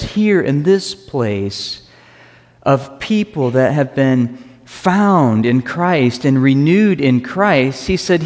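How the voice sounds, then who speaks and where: A man preaching a sermon as pastor, earnest and emphatic, slowing down to stress key phrases. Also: on the high side, a little mellow. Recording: reverberant hall.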